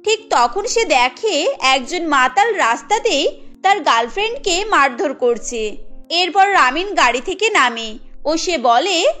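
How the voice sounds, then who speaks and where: Very high-pitched woman narrating. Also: animated, slow pace, bright, forceful tone. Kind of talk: scripted cartoon story narration. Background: faint music.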